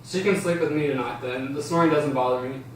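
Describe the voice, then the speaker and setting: A man's voice: medium pitch, fast, natural voice. A man reading the part of an anxious, secretive teenage boy in an amateur drama table read. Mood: casual, reserved. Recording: roomy, distant, faint noise.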